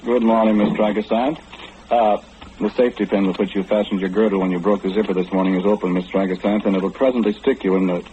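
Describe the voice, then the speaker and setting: Noisy old radio drama, male actor as eccentric all-knowing gentleman, low voice, pompous, formal, precise, theatrical. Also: steady tone, fast talker, muffled recording, close to an everyday voice. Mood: courteous, apologetic.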